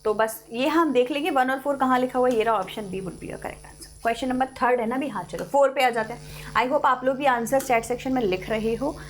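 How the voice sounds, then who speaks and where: A young Indian woman teaching an English class online, explaining in Hindi mixed with English and reading English passages slowly. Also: high voice.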